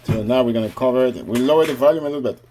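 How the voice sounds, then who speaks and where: Man, home cooking vlogger, chatty and casual, mid-pitched, faint noise behind. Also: racing, dark tone. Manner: chatty, keeps addressing viewers as guys.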